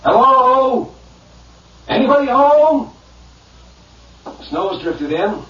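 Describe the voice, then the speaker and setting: A man's voice: very high voice, very animated, extremely slow, fairly bright tone, exaggerated voice. An actor playing a shaken male investigator in a radio drama. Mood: uneasy, searching. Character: uneasy, shaken male investigator searching a house. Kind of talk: Canadian radio drama acting. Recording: muffled, roomy, distant old radio recording.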